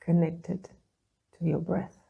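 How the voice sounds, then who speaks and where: Slow, husky woman guiding meditation. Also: fairly low pitch, flat delivery, phone-quality sound. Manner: soothing, gentle, with long pauses. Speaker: meditation guide. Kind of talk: guided meditation, calm instruction.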